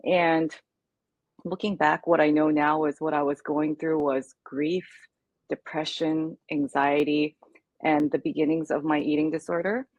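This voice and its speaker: A woman's voice: low voice, level tone, unhurried, a little mellow, no echo, lo-fi sound. A woman speaking in an interview, earnest and reflective as she talks through her experience. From United States. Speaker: guest speaker. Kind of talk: candid interview conversation.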